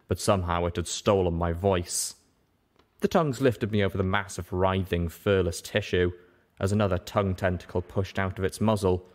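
A man reading a first-person story aloud as scripted narration. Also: low voice. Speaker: audiobook reader.

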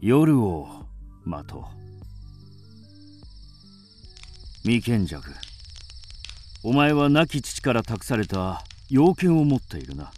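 A man's voice: mid-range voice, very animated, takes it slowly, darkish tone. An actor playing a grim, resolute man of ancient China in a radio drama, stern and commanding. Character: grim, resolute man: doomed swordsmith and mysterious avenger. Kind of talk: theatrical radio drama acting. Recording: music behind the voice.

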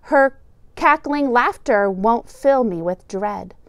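A middle-aged woman teacher reading a children's picture book aloud in a deliberate, expressive storytelling manner. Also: on the high side, no room sound.

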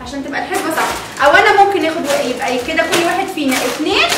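A woman's voice: very high voice, lively intonation, very fast. A young woman with an Egyptian Arabic accent chatting casually and animatedly with children as host of a family vlog, often checking they agree. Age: young adult. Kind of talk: casual excited chat with children. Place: indoor living room. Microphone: camera a few metres away. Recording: echoey room, distant mic, loud noise.

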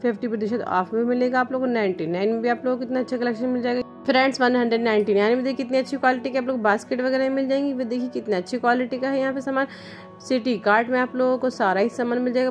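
A woman shopping vlogger walking through store aisles with her phone, pointing out products and prices in brisk, upbeat, salesy patter. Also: a little high, darkish tone. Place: indoor retail store aisles.

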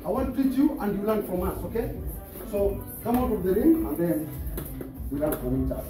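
A man's voice: high voice, sing-song, a little fast. Encouraging male boxing coach in echoey gym. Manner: encouraging, repeats phrases, laughs often. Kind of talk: coaching during a gym session. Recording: echoey gym from far off with music and crowd noise. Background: music and people in the gym.

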